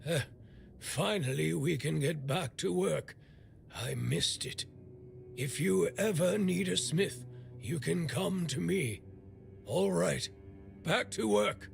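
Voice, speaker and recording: Gravelly, unhurried old village smith. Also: male voice, fairly high pitch, faint music behind, full character voice. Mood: grudgingly thankful. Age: older. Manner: blunt, foul-mouthed, sardonic. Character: gruff, irreverent old village smith, scolding and grumbling. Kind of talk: video game character dialogue.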